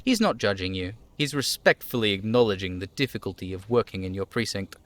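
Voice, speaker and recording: A man voicing a reserved, dutiful police lieutenant from a game, speaking in a measured, formal way. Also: lowish voice, expressive, lightly acted. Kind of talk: dramatic reading of game dialogue.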